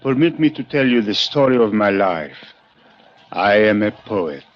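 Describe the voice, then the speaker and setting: Florid, insistent Spanish-accented poet, old radio. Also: male voice, fairly low pitch, sing-song, measured pace. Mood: courteous, insistent. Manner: over-polite, flowery, repetitive. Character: insistent, florid Cuban poet, increasingly desperate. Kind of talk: 1950s radio acting. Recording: muffled old radio recording, faint noise.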